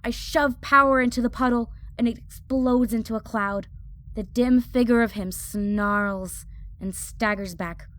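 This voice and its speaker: A woman's voice: medium pitch, natural voice. A woman playing a hot-headed young female fire mage, narrating an audio drama in the first person with intense, clipped phrases. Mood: tense, battling.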